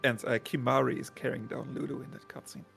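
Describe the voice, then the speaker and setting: A man giving casual, explanatory speedrun commentary in a halting, hesitant way. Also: mid-range voice, fairly even intonation, gravelly, a bit bright. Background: video game music.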